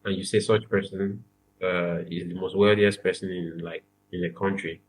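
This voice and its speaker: Young Nigerian man, low voice, earnest and emphatic guest. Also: flat delivery, normal speed, poor audio. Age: young adult. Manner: earnest, repeats phrases, emphatic. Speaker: podcast guest. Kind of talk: casual interview chat.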